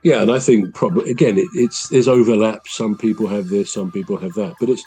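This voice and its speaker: A man's voice: lowish voice, fairly quick, dark tone, breathy edge, faint music behind. A man co-hosting a podcast in casual chat, thinking aloud with lots of fillers and restarts. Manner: thoughtful, rambling, with frequent fillers and restarts. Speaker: podcast co-host.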